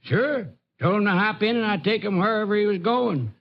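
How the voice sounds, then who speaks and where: An actor playing a chatty, easygoing dairy farmer with a folksy Texan drawl in a vintage radio drama. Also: male voice, sits high, fairly quick, lo-fi sound. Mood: casual. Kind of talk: 1950s radio drama acting.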